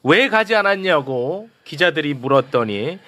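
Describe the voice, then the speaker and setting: A man hosting a casual political talk show, animated and teasing, steering the talk with quick questions. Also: a little high.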